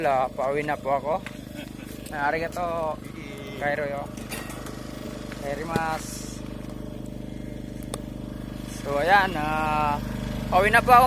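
Higher-voiced young man, noisy outdoors. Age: young adult. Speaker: vlogger. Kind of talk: casual chat to camera. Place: outdoors at night, roadside by water. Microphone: handheld phone at arm's length. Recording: noisy outdoor phone recording.

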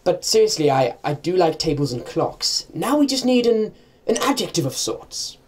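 A man's voice: high voice, very animated, moderate pace, husky, exaggerated voice. A man playing a prim, fussy, inept shopkeeper brother in a comedy radio play, stammering and earnest. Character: prim, inept, fussy upper-class brother running antique shop.

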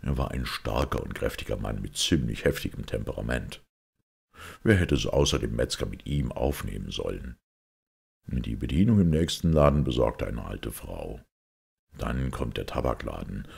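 A man voicing a calm, shrewd priest detective who reasons deliberately, with mild irony, in an audiobook story. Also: extremely low pitch, gravelly, slightly rough, full character voice. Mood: dryly ironic. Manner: deliberate, reasoning, quietly ironic.

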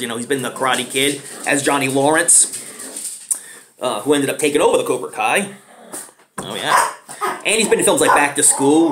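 Rambling, excitable guy in a small room. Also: mid-range voice, a little hiss or hum. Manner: rambling, enthusiastic, lots of fillers. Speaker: movie reviewer. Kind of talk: casual spoken movie review. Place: small home living room, indoors.

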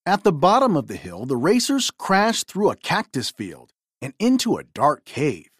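A woman reading a children's storybook aloud in an expressive, theatrical way. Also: deep voice, normal speed, breathy edge, dry recording, studio quality. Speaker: storybook reader.

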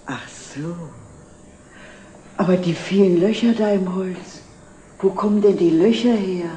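A woman's voice: fairly low pitch, unhurried, warm tone, close to an everyday voice. An actress playing a well-off, chatty middle-aged lady customer in a 1960s TV drama. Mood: inquisitive. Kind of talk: 1960s German television drama acting. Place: indoor antique shop set. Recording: roomy, noisy old TV soundtrack.

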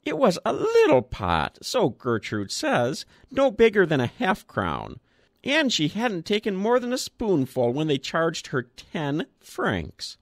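Casting: man playing a frail old gent, thin piping quaver, high and rambling, storybook reading. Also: wildly swooping pitch, slow, dry recording, studio quality, exaggerated voice. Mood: indignant. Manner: rambling, chatty.

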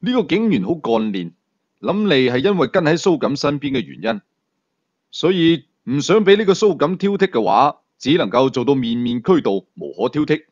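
A man's voice: a little high, animated, normal speed, a little mellow, highs cut off. A man reading a thriller story as dramatic scripted narration. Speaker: audiobook storyteller.

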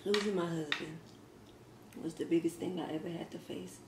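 A woman's voice: fairly low pitch, fairly even intonation, moderate pace. A woman in her thirties chatting casually while she eats, laughing often and talking between bites. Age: 30s. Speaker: mukbang vlogger. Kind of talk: casual Q and A chat while eating. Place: home kitchen, indoors. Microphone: fixed camera across a table. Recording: echoey kitchen with faint ambient noise.

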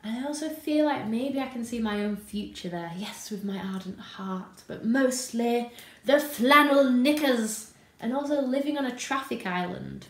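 A British woman in her thirties talks casually to camera at home. Her voice sits fairly high, and the room gives it a noticeable echo. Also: a little slow. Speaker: presenter. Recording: roomy home sound.

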